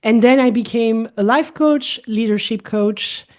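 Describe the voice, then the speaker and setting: A woman's voice, a little higher than most, speaking English with a clear French accent. She talks as a middle-aged holistic coach in a relaxed interview and explains her views thoughtfully. She often comes back to the same point in slightly different words. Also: measured pace. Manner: thoughtful, explanatory, repeats key phrases. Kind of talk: casual interview chat.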